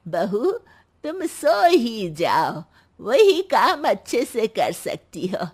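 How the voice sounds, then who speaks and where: A woman voicing a spry, sharp-tongued, very old grandmother-in-law in a cartoon, teasing and scolding her family. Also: a little high, big pitch swings, drawn-out words, husky, full character voice. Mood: mocking. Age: older. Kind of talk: cartoon voice acting.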